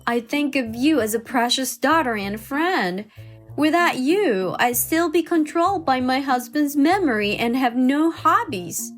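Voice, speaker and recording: A woman's voice: sits high, animated, a little slow. A woman playing a kindly, chatty older mother-in-law, polite and effusive, in a manga dub drama. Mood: affectionate. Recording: music behind the voice.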